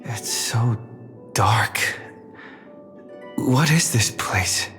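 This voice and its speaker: A calm young traveler from a game, speaking in a hushed and breathy way. His words come out extremely slowly, with a mid-range pitch. Clear game music plays underneath. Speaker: game character. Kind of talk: game cutscene voice acting. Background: game score.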